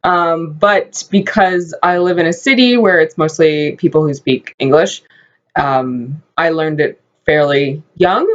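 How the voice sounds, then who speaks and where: A woman's voice: low voice, unhurried, highs cut off. A French-speaking woman co-hosting a casual chat, asking questions with frequent giggles.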